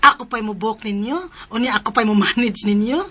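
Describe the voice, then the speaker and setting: A woman's voice: medium pitch, fairly even intonation, a little fast, a bit bright, lightly acted. A Filipino woman in a radio drama playing a playful, breezy, encouraging young friend. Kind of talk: Philippine radio drama acting.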